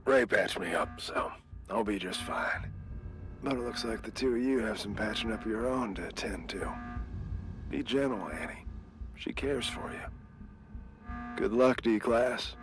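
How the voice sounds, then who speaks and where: A man's voice: fairly low pitch, measured pace, pauses often, over music, lightly acted. Man playing a wry, nonchalant game rogue. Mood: wry, reassuring. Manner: sardonic, nonchalant. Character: wry, defiant male colleague, injured but unbothered, teasing. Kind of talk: dramatic video game dialogue.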